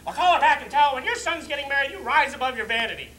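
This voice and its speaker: Sharp, demanding young bride male actor for a stage play, high-pitched and animated, fiercely insistent. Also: rapid-fire, a little hiss or hum, full character voice, low-quality recording. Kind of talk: theatrical stage monologue.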